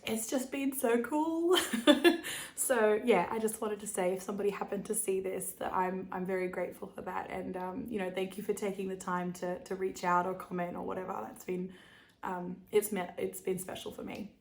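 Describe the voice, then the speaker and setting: Croaky chatty Australian woman. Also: medium pitch, steady tone, moderate pace. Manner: chatty, bubbly, many fillers and giggles. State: croaky voice from laryngitis. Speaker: knitting podcast host. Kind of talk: casual chat to camera.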